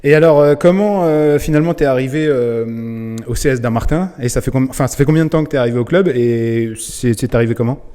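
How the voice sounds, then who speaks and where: A man hosts a casual interview for a club channel. His voice is mellow and warm in tone, and he speaks in a friendly, hesitant way with many fillers. The room gives a long reverb. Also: medium pitch, brisk, hardly any pauses, smooth.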